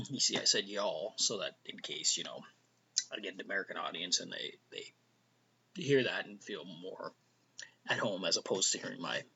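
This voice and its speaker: Gravelly Canadian man rambling softly. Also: low-pitched, expressive, moderate pace, lo-fi sound. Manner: rambling, self-correcting, often drops to a near whisper. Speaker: vlogger host. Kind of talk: casual rambling monologue.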